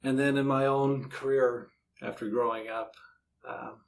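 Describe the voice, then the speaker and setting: A man's voice: medium pitch, little pitch movement, unhurried, creaky, rough recording. Man, middle-aged, American accent, easygoing, conversational, slight background noise. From United States. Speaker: podcast narrator and author being interviewed. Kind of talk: casual interview chat.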